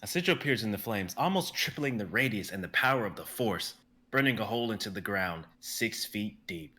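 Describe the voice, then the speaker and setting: A young man reading scene directions aloud for a live drama stream, in a brisk and vivid way. His voice is low and bright, and now and then he stumbles over a word. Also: lively intonation. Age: young adult. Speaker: narrator reading stage directions.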